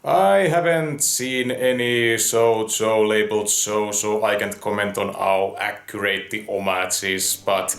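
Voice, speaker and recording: A man giving conversational review commentary in a musing, somewhat halting way. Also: on the low side, a bit bright. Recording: roomy, echoing voice recording.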